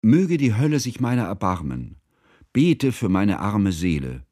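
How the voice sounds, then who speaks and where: An actor playing an earnest, scholarly narrator in a literary radio drama, speaking in a formal, measured, solemn way. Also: male voice, lowish voice, sing-song, dark tone, no echo, studio quality. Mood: solemn, concerned. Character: earnest, scholarly male narrator, devoted old friend.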